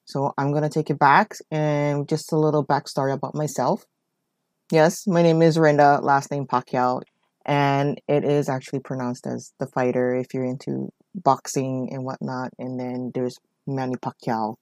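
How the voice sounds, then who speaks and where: A woman's voice: very deep voice, steady tone, normal speed, a little mellow, dry recording. A woman, telling her life story as a podcast host in a rambling, conversational way. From Hawaii. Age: 40s. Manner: rambling, conversational, leans on "you know" and "whatnot". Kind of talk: personal storytelling monologue.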